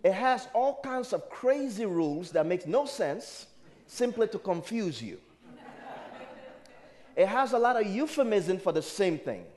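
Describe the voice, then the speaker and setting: Male spiritual speaker, Liberian accent and native dialect speaker. Fairly high, very lively pitch, emphatic motivational sermon delivery. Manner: emphatic, rhetorical, questioning the audience. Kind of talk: motivational sermon to a live audience.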